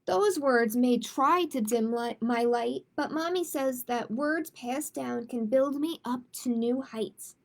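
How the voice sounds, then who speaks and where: Woman, storytime host, high-pitched, creaky edge, emphatic and expressive, read-aloud style for young kids. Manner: slow, emphatic, expressive reading for young children. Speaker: children's librarian hosting storytime. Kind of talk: picture book read-aloud and casual chat.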